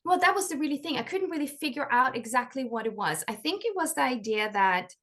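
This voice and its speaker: A woman from Norway, a life coach and author, telling her story in a chatty interview, full of "like" fillers and quick laughs. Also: high-pitched, animated, fairly bright tone. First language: Norwegian.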